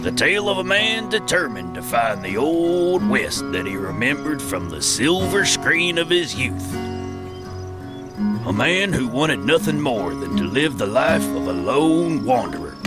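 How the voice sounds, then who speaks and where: An actor playing a folksy, dramatic Western storyteller with drawling cowboy talk in a comic audio drama. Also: male voice, mid-range voice, big pitch swings, measured pace. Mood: grand, folksy. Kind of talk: scripted comedic Western narration. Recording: loud guitar music behind.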